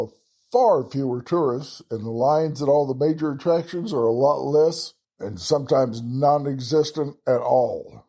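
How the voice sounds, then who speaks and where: A man's voice: mid-range voice, warm tone, husky. A man with a Texan accent hosting a travel podcast, talking casually and folksily with chatty self-deprecating asides. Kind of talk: casual solo travel talk.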